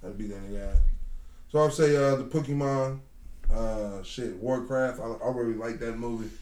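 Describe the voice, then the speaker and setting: A man's voice: mid-range voice, moderate pace, darkish tone, echoey room. A man in his thirties co-hosting a podcast, talking loosely in slang and repeating his words. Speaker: podcast co-host. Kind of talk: casual group chat.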